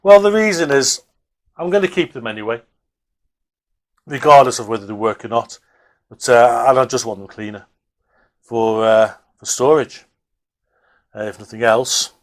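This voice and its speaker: Male hobby presenter, mid-pitched. Casual, off-the-cuff workbench commentary, hesitant, thinking out loud. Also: normal speed, long pauses.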